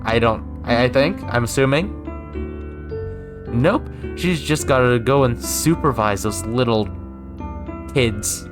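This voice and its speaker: A man's voice: mid-range voice, takes it slowly, long pauses, music in the background. A man reading a visual novel aloud as a gaming YouTuber, sometimes stepping in with casual asides.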